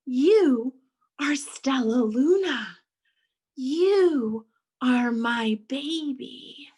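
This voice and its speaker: A tender mother bat from a children's storybook, acted by a woman. She speaks slowly and emphatically in a high, reassuring voice, stretching her words with awe. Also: very animated, a bit bright, exaggerated voice. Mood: urgent, awed.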